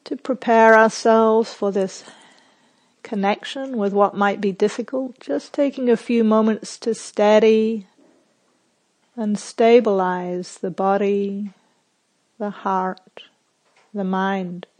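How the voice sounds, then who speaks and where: A woman guides a meditation at a very unhurried pace. Her voice sits at a middle pitch. She gives gentle instructions in short phrases, leaving plenty of silence between them. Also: fairly even intonation. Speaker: meditation teacher.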